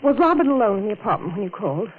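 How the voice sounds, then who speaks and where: An actress playing a calm, firm woman protecting the man she loves in a 1940s radio drama. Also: mid-range voice, racing, natural voice. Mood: scheming. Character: cool-headed woman shielding her lover. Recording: tinny lo-fi 1940s radio broadcast with hiss.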